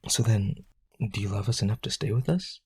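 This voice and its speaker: A man playing a powerful, rich vampire aristocrat, desperately devoted, with breathy, raspy, intense and coaxing speech in a roleplay audio. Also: on the low side, no echo. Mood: hopeful. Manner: intense, coaxing, begging, halting.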